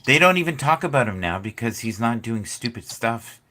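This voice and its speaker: A man's voice: mid-range voice, animated, a little fast, sharp tone, slight background noise. A man hosting a casual fantasy football chat, playful and quizzing the others.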